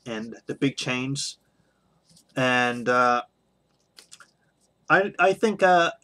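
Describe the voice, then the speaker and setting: A middle-aged man talking unscripted as a video blogger, sharing his opinions in a halting, hesitant way with frequent pauses. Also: medium pitch, fairly bright tone. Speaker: video blogger on toy collecting.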